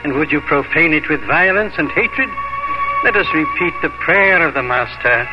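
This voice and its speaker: A man's voice: on the high side, huge pitch swings, moderate pace. An actor playing a grave, kindly village priest who admonishes like a father, in a 1950s radio drama. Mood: reproving, solemn. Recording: dull old radio recording, music behind.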